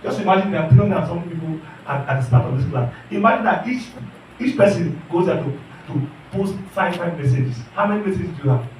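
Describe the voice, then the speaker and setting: A man's voice: fairly high pitch, expressive, fairly quick, slight background noise. A man teaching an online class, earnest and repetitive, often checking in with his listeners. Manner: earnest, repeats phrases, asks the class questions. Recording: echoey room, voice from far off.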